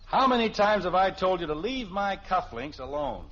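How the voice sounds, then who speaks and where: A man's voice: high voice, expressive, moderate pace, vocal fry, a bit bright, heavily acted. An American actor playing a blustering, sarcastic middle-aged suburban father in a 1950s radio sitcom. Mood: exasperated. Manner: theatrical, sarcastic, fussy. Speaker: lead actor in a family sitcom. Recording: muffled, faintly hissy old radio recording.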